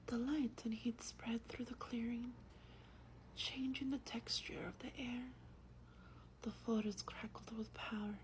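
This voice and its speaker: A woman speaks in a breathy, close-up whisper with a faint noise behind her. Her delivery is very slow, hushed and gentle, in the manner of an ASMR vampire reading a book aloud. The voice sits a little on the high side. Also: fairly even intonation, low-quality recording. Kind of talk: soft-spoken ASMR roleplay and book reading.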